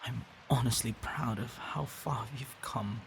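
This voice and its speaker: A man playing a protective, tender vampire boyfriend in an intimate ASMR roleplay, hushed and halting, often slipping into whispers and sighs. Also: mid-range voice, vocal fry, background noise. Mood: remorseful, earnest.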